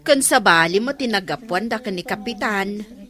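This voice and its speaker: A woman's voice: medium pitch, animated, normal speed, sharp tone. A woman playing a nosy, chatty village neighbour in an Ilocano radio comedy drama. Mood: prodding.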